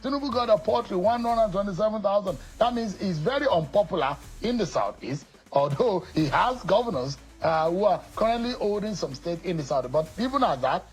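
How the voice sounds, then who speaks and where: A man's voice: high-pitched, slightly rough. A man interviewing a political guest, reading out vote figures and challenging his claims.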